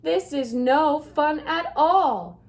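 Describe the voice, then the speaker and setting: A woman's voice: high-pitched, very slow, a little mellow, pitched above the performer's own voice, heavily acted. A woman voicing a fussy male pet fish in a storybook read-aloud, who scolds insistently. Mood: scolding.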